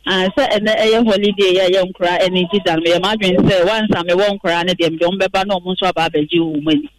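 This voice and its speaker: A Ghanaian woman answers politely and respectfully in a quick voice. Clear background noise from the outdoor event surrounds her. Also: medium pitch. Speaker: caller at event.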